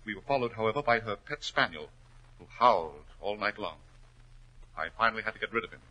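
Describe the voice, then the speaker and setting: Desperate aristocrat, forceful and dramatic. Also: male voice, fairly low pitch, expressive, fairly quick, muffled recording. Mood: desperate pleading. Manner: impassioned, melodramatic, pleading. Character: desperate, hot-tempered aristocrat racehorse owner, cornered and guilty. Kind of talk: 1940s radio melodrama acting. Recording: old 1940s radio recording.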